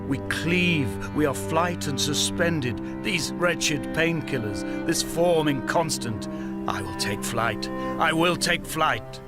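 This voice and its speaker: An actor playing a grieving, injured castaway who narrates a video game in solemn, poetic, feverish monologue. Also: male voice, fairly high pitch, expressive. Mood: anguished, feverish. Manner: solemn, poetic, deliberate delivery. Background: music.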